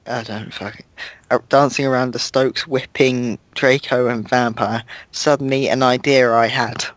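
A man's voice: medium pitch, steady tone, normal speed, a bit bright, no room sound. A man reading a fan story aloud in a mock-dramatic way, stumbling over words and laughing at the text.

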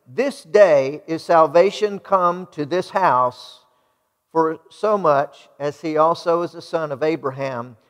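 A middle-aged American man preaching a sermon, emphatic, putting rhetorical questions to his congregation. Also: high voice. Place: large church sanctuary, indoors. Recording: reverberant church hall.

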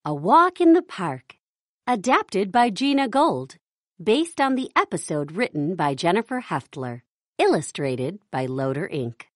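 A woman's voice: medium pitch, no echo, clean studio sound. A woman narrating a children's read-along storybook, reading carefully word by word for young readers.

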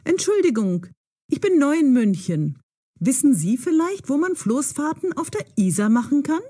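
An actress playing a bold, self-assured young woman in an audio drama, with a breathy voice. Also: high-pitched, very animated, normal speed, mellow. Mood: polite, hurried.

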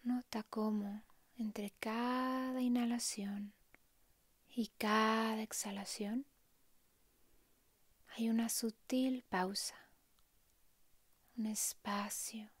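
A woman softly narrating a guided meditation in a hushed, calm, breathy way, leaving long pauses. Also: a little high, dry recording.

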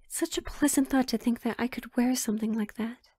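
A woman's voice: a little high, steady tone, a little fast, dark tone, breathy edge, dry recording, clean studio sound. A woman playing a sheltered, pleading young royal in a romantic audio drama, with hesitant, formal old-fashioned phrasing. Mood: wistful, hesitant.

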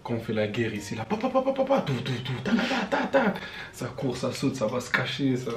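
A man's voice: medium pitch, wildly swooping pitch, a little fast, echoey room, a little hiss or hum. A young man from France chatting with his wife on a couples vlog, talkative and full of street slang. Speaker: vlogger's husband. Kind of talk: casual couple Q&A chat. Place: living room with fireplace screen.

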